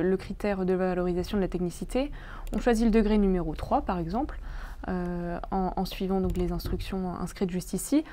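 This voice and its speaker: A young woman presents a webinar, walking through material on screen. Her voice is soft-edged and mellow, at an ordinary female pitch. She speaks haltingly, feeling her way through the explanation with frequent pauses. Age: young adult. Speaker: presenter explaining labour agreement changes. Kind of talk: webinar slide walkthrough, semi-improvised explanation.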